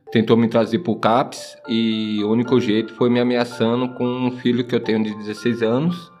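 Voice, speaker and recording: A man's voice: on the low side, a little mellow. Man in his forties telling his story. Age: 40s. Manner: plain halting storytelling with restarts. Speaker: guest in treatment. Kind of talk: personal testimony.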